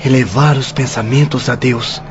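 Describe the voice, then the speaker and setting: An actor playing a remorseful, humble young prisoner who speaks earnestly in a radio drama. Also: male voice, mid-range voice, fairly even intonation, moderate pace, over music, natural voice. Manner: contrite, earnest. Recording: dull sound with faint noise.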